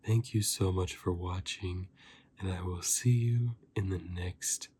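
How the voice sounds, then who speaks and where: A man giving a softly spoken ASMR review as a host, breathy and often slipping into a whisper, chatting informally and rambling like a fan. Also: deep voice, fairly even intonation, unhurried, a little hiss or hum. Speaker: host of an anime review channel.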